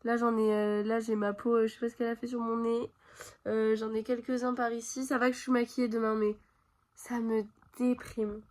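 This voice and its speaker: A woman's voice: on the high side, flat delivery, normal speed, darkish tone. A woman vlogging from her bedroom at home with a stuffy nose from a cold, chatting straight to her viewers with plenty of fillers. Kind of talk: casual chat to camera.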